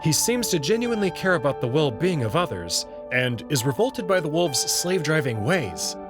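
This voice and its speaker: A man reading scripted narration and commentary as narrator and host, articulate and expressive. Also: fairly high pitch, normal speed, over music.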